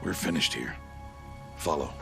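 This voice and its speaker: A man playing a stern, solemn outcast hunter and mentor in a video game, speaking in a grave, measured, instructive way. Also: very deep voice, vocal fry, music in the background, full character voice. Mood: solemn teaching. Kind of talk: dramatic video game acting.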